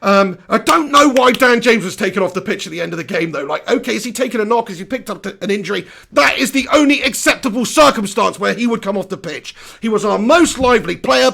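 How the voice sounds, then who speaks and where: Hoarse, gravelly football fan ranting. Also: male voice, high-pitched. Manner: animated, exasperated ranting with mild swearing. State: hoarse, losing his voice. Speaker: football fan channel host. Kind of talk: live post-match reaction rant.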